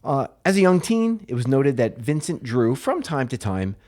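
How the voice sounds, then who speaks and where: A man's voice: medium pitch, very animated, moderate pace, a little mellow. An American man co-hosting a comedy podcast, telling a history story in a playful, hammy way with jokey asides. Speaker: podcast co-host presenting a story.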